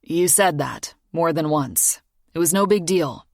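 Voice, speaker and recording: Casting: woman doing a reserved single father, measured and low-key, low voice, dry close sound. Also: expressive, gravelly, a little mellow, no room sound, heavily acted. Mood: calm. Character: grown man, calm, reserved single father. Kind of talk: audiobook character dialogue.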